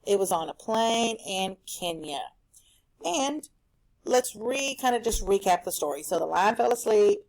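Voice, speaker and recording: A woman teaching a recorded reading lesson for children at home, explaining a story in an animated, explanatory way. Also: mid-range voice, a little slow.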